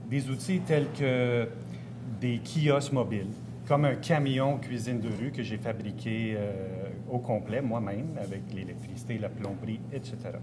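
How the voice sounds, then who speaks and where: A middle-aged man from Ontario answering interview questions conversationally, with frequent pauses. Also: mid-range voice. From Ontario, Canada. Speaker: engineer, entrepreneur and interview guest. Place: restaurant. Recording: clear background ambience noise.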